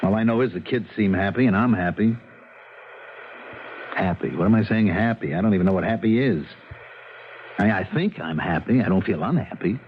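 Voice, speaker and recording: A plain-spoken American husband muses in a low voice, exasperated and emphatic, circling back over his own words. It is a slightly dull 1970s radio drama recording with faint noise. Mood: puzzled, defensive. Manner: emphatic, musing, repeats himself.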